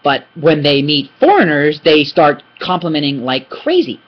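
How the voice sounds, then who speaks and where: A young man giving a casual, animated language lesson from his couch, rambling with many restarts and asides, recorded on a laptop webcam. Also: fairly high pitch, moderate pace. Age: young adult. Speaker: Thai language teacher. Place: indoor living room, on a couch. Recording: dull lo-fi webcam audio.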